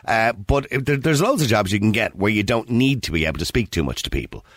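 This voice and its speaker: Fast-talking deep-voiced Irish radio host. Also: male voice, big pitch swings, a bit bright, no echo, studio quality. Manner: conversational, chatty, emphatic. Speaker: radio talk show host. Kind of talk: talk radio phone-in. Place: radio studio.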